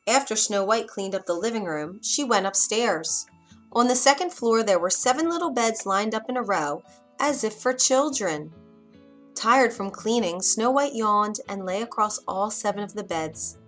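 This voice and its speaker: A woman's voice: medium pitch, expressive, normal speed, a bit bright, faint music behind. A woman storyteller reading a scripted bedtime fairytale for children.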